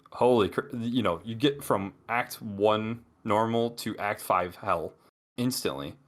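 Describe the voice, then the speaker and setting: A man's voice: on the low side, fairly bright tone. A man co-hosting a gaming podcast, talking in a casual, rambling way with many restarts. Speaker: podcast co-host.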